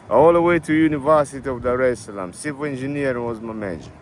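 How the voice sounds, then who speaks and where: A middle-aged man with a Tanzanian accent, answering a street interview in a halting way with many restarts. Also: mid-range voice. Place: city street outdoors. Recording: outdoor street noise.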